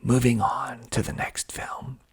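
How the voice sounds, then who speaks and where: A man's voice: unhurried, shouting. A man whispering breathily in an ASMR style as he reads aloud, adding wry, understated asides. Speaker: filmmaker and actor reading reviews of own film.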